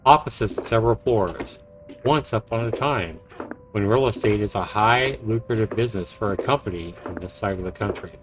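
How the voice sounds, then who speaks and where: Visual novel lead: male actor as a low, wry, introspective man, guilt-ridden, with music underneath. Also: normal speed, close to an everyday voice, low-quality recording. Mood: pensive. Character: brooding, guilt-ridden man with a dry charm. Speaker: narrating protagonist. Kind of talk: visual novel voice acting, introspective narration and dialogue.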